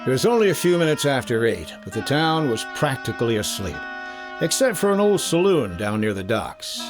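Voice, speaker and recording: A man's voice: medium pitch, very animated, normal speed, music in the background, natural voice. A man acting a shrewd, wry insurance investigator in a 1950s-style detective radio drama, speaking and narrating with dry confidence.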